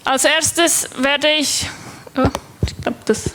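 A woman's voice: sits high, steady tone, slow, bright, forceful tone, a little hiss or hum, poor audio. A young woman with a Swiss German accent, a developer giving a technical conference talk, hesitant with many fillers and restarts. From Switzerland.

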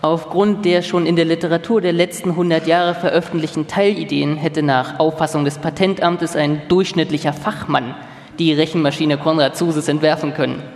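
A man's voice: fairly high pitch, fairly even intonation, moderate pace, lightly acted. A man voicing quoted experts in a formal, declarative way for a live documentary feature. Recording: hall reverb, live stage reading.

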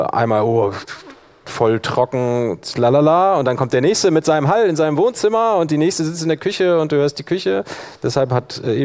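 A man's voice: mid-range voice, darkish tone. A man presenting a workshop in an informal tutorial style, thinking aloud as he demonstrates step by step.

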